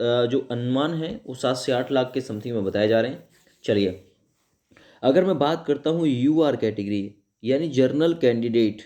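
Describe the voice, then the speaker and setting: Guy coaching students, mid pitched mellow voice, informal, repeats stuff to make the point. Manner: repeats phrases for emphasis, frequent check-in tags. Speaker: exam coaching YouTuber. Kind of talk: informal explainer addressed to students.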